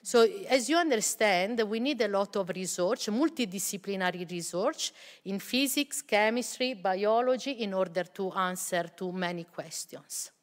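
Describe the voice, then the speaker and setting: A woman's voice: medium pitch, animated, normal speed. A middle-aged woman researcher on a panel, explaining her field with many fillers and hesitations, speaking into a table mic in a large lecture hall. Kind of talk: panel discussion answer. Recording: long hall reverb.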